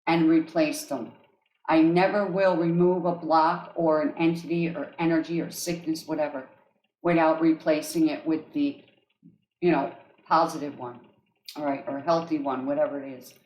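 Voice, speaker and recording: A woman with an accent, an energy healer speaking gently and often checking in with her listeners as she describes guided healing tracks. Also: low-pitched, fairly even intonation, moderate pace. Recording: echoey room.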